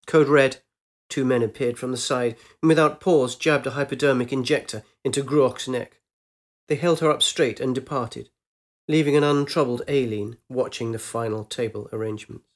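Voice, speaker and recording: Croaky-voiced man narrating a story. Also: medium pitch. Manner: measured, steady narration.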